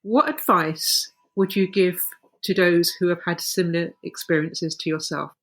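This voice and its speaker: A woman hosting an interview, asking calm, measured questions. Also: on the low side. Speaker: podcast host.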